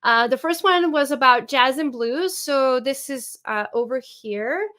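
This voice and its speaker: A woman's voice: sits high, expressive, a little slow, a bit bright. A woman, a music teacher giving an online webinar presentation over a video call, talking hesitantly with many filler sounds. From Serbia. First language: Serbian.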